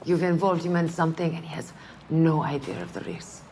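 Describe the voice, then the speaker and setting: An actress in a video game playing a wounded, defiant woman who speaks in a measured, earnest, reproachful way. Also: low-pitched, steady tone, darkish tone, a little hiss or hum. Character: wounded, defiant woman pleading for her friend. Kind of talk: dramatic video game acting.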